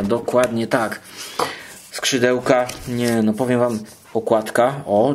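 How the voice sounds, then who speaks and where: A man giving a casual podcast monologue in a playful, savouring way, as a guest commentator. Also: mid-range voice. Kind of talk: casual monologue, narrating while handling things.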